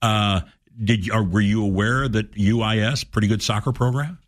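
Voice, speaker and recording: A man's voice: fairly low pitch, steady tone, normal speed. A man hosting a radio interview, asking folksy, rambling questions and correcting himself as he goes. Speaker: radio talk show host.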